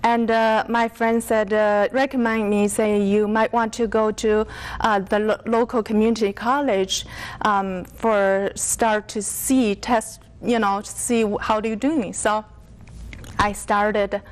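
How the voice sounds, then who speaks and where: A woman from China with a Chinese accent, answering interview questions haltingly as she searches for words in English, which is not her first language. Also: mid-range voice, flat delivery. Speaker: interview guest.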